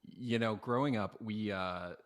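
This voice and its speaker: A man, a voice actor, reminiscing casually in an interview, a little halting. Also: fairly low pitch, no room sound.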